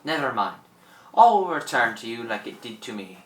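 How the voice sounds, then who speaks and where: A man's voice: mid-range voice, sing-song, moderate pace, roomy sound, recorded from a distance, close to an everyday voice. A man playing a fierce, proud French pirate in a radio play, with a French accent and theatrical broken English. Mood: scornful. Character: fierce, proud French pirate thawed from fifty years frozen. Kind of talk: amateur radio drama acting.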